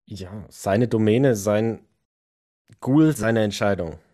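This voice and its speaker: A man playing an embittered, agitated vampire in an audio drama, emotional and sometimes halting. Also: on the low side, dark tone. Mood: grim. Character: embittered, agitated male vampire hunting anarchs. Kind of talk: German audio drama role-play acting.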